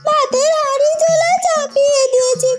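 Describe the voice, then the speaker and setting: Very high, childlike naive village girl. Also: fairly even intonation, normal speed, soft background music. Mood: innocent, defensive. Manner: childlike, literal, pleading delivery. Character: naive, simple-minded village daughter of marriageable age. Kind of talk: Bengali cartoon fairy-tale voice acting.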